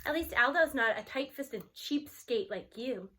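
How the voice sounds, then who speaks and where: A woman voicing a sharp-tongued, loyal middle-school girl in a children's storybook, with an emphatic, scolding manner. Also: sits high, lively intonation, unhurried, husky, heavily acted. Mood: indignant.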